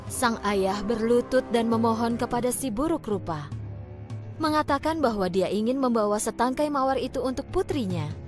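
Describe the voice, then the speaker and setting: A woman narrating a cartoon fairy tale in an expressive, storytelling manner over background music. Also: on the high side, moderate pace.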